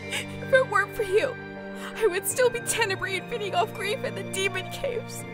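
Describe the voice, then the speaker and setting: Very high voice, heartbroken heroine, emotional, voiced by a woman. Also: normal speed. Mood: tearful. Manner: emotional, pleading, tearful. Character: young woman, remorseful heroine. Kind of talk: fan audio drama acting. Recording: music underneath.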